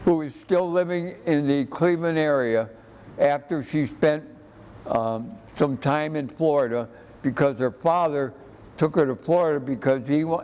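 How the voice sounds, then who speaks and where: Slow-talking older American man, halting. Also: fairly high pitch, darkish tone, clean tone. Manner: halting, often repeats words. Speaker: interview guest. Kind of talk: conversational reminiscing.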